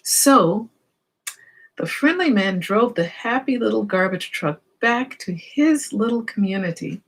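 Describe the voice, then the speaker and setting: A woman's voice: medium pitch, lively intonation, a little slow, a little hiss or hum, rough recording. A woman reading a children's story aloud, expressively, with explanatory asides and questions for young listeners.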